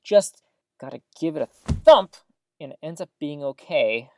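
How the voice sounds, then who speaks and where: A woman's voice: low-pitched, lively intonation, measured pace, darkish tone, slight background noise. A woman playing a chatty, upbeat small-town radio host in an audio drama, with folksy exclamations and theatrical news patter.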